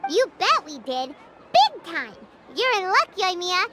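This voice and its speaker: A woman playing a tiny, perky, confident childlike fairy companion in a video game, frank and squeaky. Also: sing-song, measured pace, heavy background noise, heavily acted. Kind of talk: scripted video game story dialogue. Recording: voice under loud playful music.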